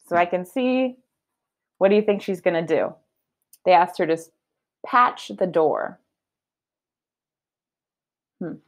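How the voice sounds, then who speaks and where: A woman speaks as a teacher leading a read-aloud for children. Her pitch moves around in an animated way as she explains her thinking, and she keeps checking in with short questions. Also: takes it slowly, long pauses.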